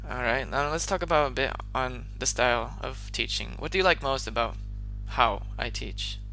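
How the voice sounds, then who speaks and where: A man's voice: mid-range voice, moderate pace, gravelly, pushed and bright, faint music behind, poor audio. A man who teaches English, asking his student interview questions in an upbeat, encouraging way.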